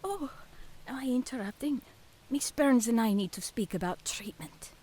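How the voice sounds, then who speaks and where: A woman playing a kindly, reassuring nurse with a Spanish accent in an audio drama, speaking quietly and soothingly. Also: fairly high pitch, lively intonation, normal speed, slightly rough. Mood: friendly, polite. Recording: faint hiss, slightly noisy.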